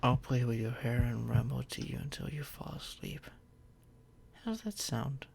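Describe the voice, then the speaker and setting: A man playing a tender, protective boyfriend in a soft, soothing ASMR roleplay, often close to a whisper. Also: medium pitch, unhurried, vocal fry, slight background noise. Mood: soothing, affectionate. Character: tender, protective boyfriend soothing his overworked partner to sleep.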